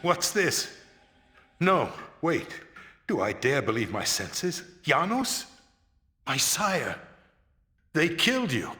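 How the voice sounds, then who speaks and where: An old vampire leader from a fantasy game, theatrical and fatherly. His voice is forceful and bright, rising and falling dramatically. He draws his words out slowly and floridly. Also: fairly high pitch, sing-song, full character voice. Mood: astonished.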